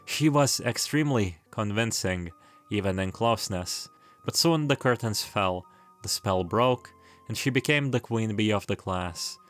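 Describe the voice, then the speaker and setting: Male video essayist, lower register, casual storytelling delivery with dry asides, light music underneath. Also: unhurried, fairly bright tone. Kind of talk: casual retrospective narration of a visual novel. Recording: music behind the voice. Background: background music.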